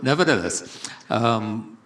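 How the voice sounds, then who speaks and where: A man with a fairly low voice speaks up at a conference session. He is earnest, with a self-deprecating touch, and pauses often with "um". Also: animated, a bit bright. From Trinidad and Tobago. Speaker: conference audience participant. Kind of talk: conference remarks. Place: large conference hall.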